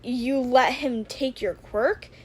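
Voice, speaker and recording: A woman's voice: high voice, a little mellow, slightly rough, heavily acted. Woman voicing an earnest, formal male hero mentor. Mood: sheepish. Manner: formal, deliberate, slightly sheepish.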